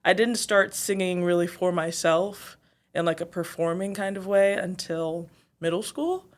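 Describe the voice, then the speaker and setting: A woman's voice: lowish voice, unhurried. A young woman from Zambia, an R&B singer, chatting as a guest in an interview, thoughtful and full of fillers. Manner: thoughtful, many fillers and "like", laughs often. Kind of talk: casual interview chat.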